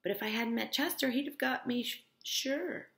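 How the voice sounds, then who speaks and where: Lady doing a shrill snobby wife from a novel, very vigorous and declaring, pitched way up. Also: moderate pace, creaky, fairly bright tone, echoey room. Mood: haughty, vigorous. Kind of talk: dramatic novel read-aloud.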